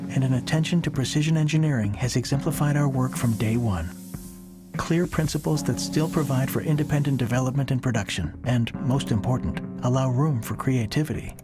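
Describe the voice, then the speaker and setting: A man reading a scripted promotional voice-over in a measured, deliberate way, pausing slowly between phrases. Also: mid-range voice. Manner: measured, deliberate delivery with slow pauses. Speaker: corporate video narrator. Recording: voice-over under loud music.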